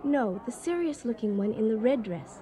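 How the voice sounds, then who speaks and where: An actress playing a nervous, chatty, scatterbrained party hostess in a comedic radio drama, rambling and guileless. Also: female voice, a little high, expressive, normal speed, dark tone, natural voice.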